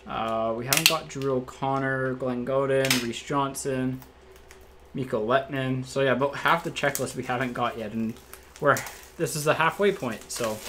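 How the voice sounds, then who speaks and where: A man with a slightly raspy voice hosts a card break, reading off each card in a relaxed, matter-of-fact way and thinking out loud. He is recorded indoors at a table by a fixed camera. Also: mid-range voice, normal speed, background noise. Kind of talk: casual card-opening commentary.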